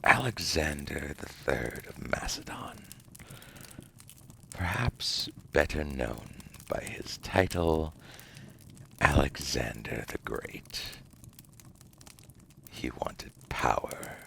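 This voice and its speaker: A man playing a seductive, smug ancient demon dealmaker in an ASMR roleplay, slipping between breathy whispers and a gravelly murmur with slow, theatrical, formal delivery. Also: a bass voice, lively intonation, bright, forceful tone, full character voice. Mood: smug, ominous.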